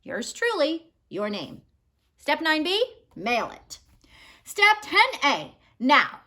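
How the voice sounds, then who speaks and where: Female teacher reading a children's story: high, bright voice, expressive and emphatic. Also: takes it slowly. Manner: animated, instructive, emphatic storytelling delivery. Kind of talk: expressive children's picture-book read-aloud. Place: small living room at home, indoors.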